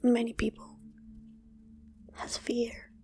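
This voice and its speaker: Very slow whispering woman, ASMR meditation. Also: fairly high pitch, completely flat delivery. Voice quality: breathy whisper. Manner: hushed, soothing, drawn-out phrases with long pauses. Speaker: ASMR channel host and meditation guide.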